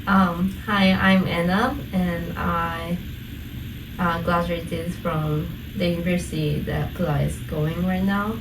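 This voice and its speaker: Slow, hesitant Japanese woman guest. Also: fairly low pitch. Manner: hesitant, lots of fillers, searching for words. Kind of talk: casual interview. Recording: echoey room from a distance, noisy.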